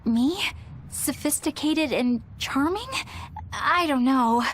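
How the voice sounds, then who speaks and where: A woman's voice: high voice, takes it slowly, a little hiss or hum. A woman playing an earnest, modest, easily flustered young woman in an anime-style video game, speaking with some hesitation. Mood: flustered, hesitant.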